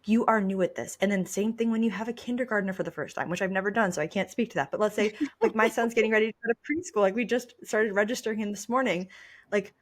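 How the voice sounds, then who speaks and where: A woman's voice: medium pitch, fast talker. A young adult woman co-hosting a podcast, giving advice in a casual, emphatic way. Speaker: podcast co-host.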